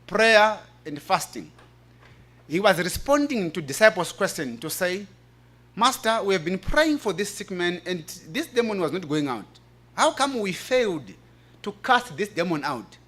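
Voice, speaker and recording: A man's voice: sits high, fairly bright tone. A man presenting at a religious forum, explaining in a preaching style and repeating phrases for emphasis.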